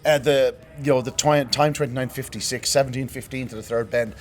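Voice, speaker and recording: A hoarse Irish man presenting a racing review, going quickly through race times and details. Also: medium pitch, fairly even intonation. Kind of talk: post-race review and analysis.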